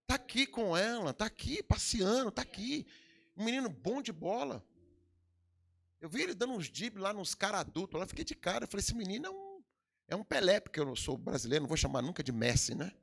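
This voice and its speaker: Lively preaching Brazilian pastor, gravelly swells. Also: male voice, high voice, a little fast. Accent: Brazilian Portuguese. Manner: preaching with emphatic swells and sudden drops. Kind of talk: sermon. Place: church hall.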